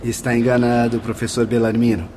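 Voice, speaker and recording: A man's voice: mid-range voice, flat delivery, a little fast, darkish tone, a little hiss or hum, lightly acted. An actor playing a polite, instructive male spirit guide in a radio play. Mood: explaining.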